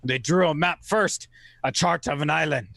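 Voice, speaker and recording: A man narrates in the style of old-time radio theater, wry and oratorical. His voice is gravelly and sits a touch high, with very little room around it. He places his phrases deliberately. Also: fairly bright tone, no echo.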